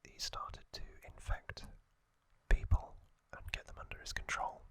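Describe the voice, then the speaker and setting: Slow, whispering ASMR man. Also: a bit bright. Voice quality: breathy, unvoiced whisper. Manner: slow, halting, explanatory. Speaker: ASMR host. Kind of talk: whispered ASMR trivia recap, unscripted.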